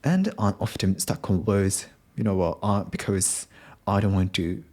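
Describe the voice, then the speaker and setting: Man, young adult, Japanese accent, non-native English speaker, hesitant, frequent ums and restarts, slightly low voice, slow pace. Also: a little mellow. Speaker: podcast host. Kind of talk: unscripted solo talk.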